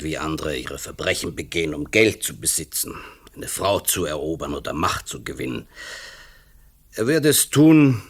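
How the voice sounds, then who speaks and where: An actor playing a brooding writer in a radio play, philosophising emphatically with a sly, malicious edge. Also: male voice, deep voice, fairly bright tone. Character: brooding male writer, intellectual, slyly malicious.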